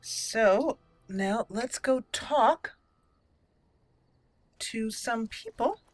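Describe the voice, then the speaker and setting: A woman giving chatty gameplay commentary as host, slipping now and then into measured in-character roleplay speech. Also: fairly high pitch, lively intonation, no room sound. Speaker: let's play video host. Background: game music.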